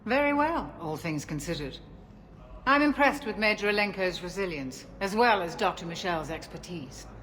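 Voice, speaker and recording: A woman playing a mature, caring military doctor in a video game, measured and formal. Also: lowish voice, animated, fairly bright tone, slight background noise. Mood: concerned, composed. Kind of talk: video game cutscene acting.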